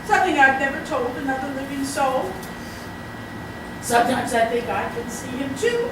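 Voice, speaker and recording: Casting: actress as haughty, exasperated middle-aged mother, stage comedy, high voice, fretful emphatic complaining, distant miking. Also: lively intonation, moderate pace. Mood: confessing. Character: flustered, indignant middle-aged society mother, exasperated by her brother. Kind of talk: comic stage play performed live. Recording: echoey, very noisy hall from far off.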